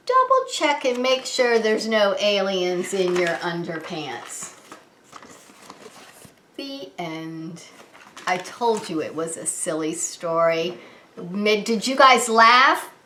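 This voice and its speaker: A woman preschool teacher reading a children's story aloud in an animated, sing-song manner, talking to small children. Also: medium pitch. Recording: echoey room.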